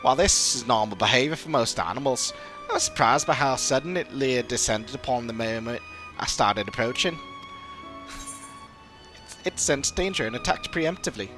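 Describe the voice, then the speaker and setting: A man voicing a courteous, scholarly old father in a fantasy game, speaking in a formal, measured, old-fashioned way. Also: mid-range voice, animated, over music, close to an everyday voice, rough recording. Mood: thoughtful. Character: courteous old father, scholarly theorist recovering from long illness. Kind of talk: fantasy game dialogue read aloud.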